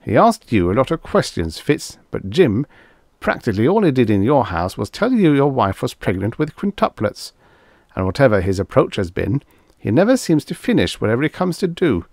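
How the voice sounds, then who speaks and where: A man's voice: medium pitch, sing-song, normal speed, darkish tone, dry recording, clean studio sound, pitched above the performer's own voice. Narrator voicing a commanding, emphatic man in charge. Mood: insistent, reasoning. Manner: assertive, emphatic, reasoning aloud. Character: commanding, take-charge man giving brisk orders. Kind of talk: audiobook character dialogue.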